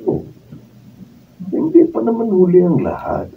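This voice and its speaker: A man's voice: sits high, huge pitch swings, a little slow, creaky, phone-quality sound, heavily acted. A man playing a wise, consoling elderly brother in a radio drama, earnest and philosophical, with a Filipino accent. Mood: earnest, encouraging. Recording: distant, phone-thin, noisy room recording.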